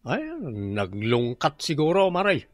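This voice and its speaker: A man's voice: fairly high pitch, big pitch swings, unhurried, no room sound, studio quality. A man with an Ilocano accent acting an easygoing drinking buddy in a radio comedy-drama. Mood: casual, teasing. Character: easygoing male drinking buddy, urging another round.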